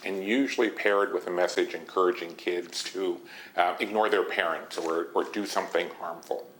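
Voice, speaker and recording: An actor playing a grave, measured male investigator in naturalistic game dialogue. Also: deep voice, steady tone, a bit bright. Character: grave male investigator alarmed by hidden cult messages. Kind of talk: naturalistic recorded meeting dialogue. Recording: noisy meeting tape.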